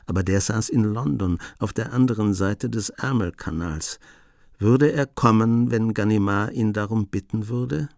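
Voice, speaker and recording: A man narrating a radio play in a measured storytelling manner. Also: lowish voice, gravelly, darkish tone, dry recording, studio quality. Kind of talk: scripted radio drama narration.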